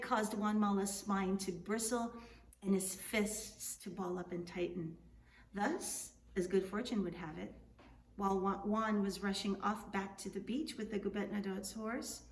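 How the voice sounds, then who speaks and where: A woman narrates a tale in an expressive storybook style, as an author reading aloud. The room echoes around the voice. It sits slightly away from the mic, with faint noise behind. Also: mid-range voice, recorded from a distance. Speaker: author reading their book.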